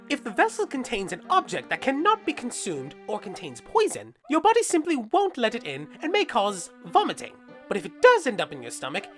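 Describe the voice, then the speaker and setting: A woman voicing an eager, excitable guardian creature from a cartoon, explaining things like a keen little lecturer. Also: fairly high pitch.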